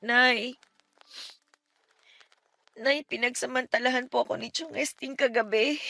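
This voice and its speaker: A woman's voice: on the high side, measured pace, creaky, bright, forceful tone, a little hiss or hum, exaggerated voice. A woman playing a wronged, anguished young daughter who pleads with her mother in an emotional radio drama. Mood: subdued, pleading. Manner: pleading, emotional, respectful turning defiant. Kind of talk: Tagalog radio drama acting. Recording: dramatic music under the dialogue.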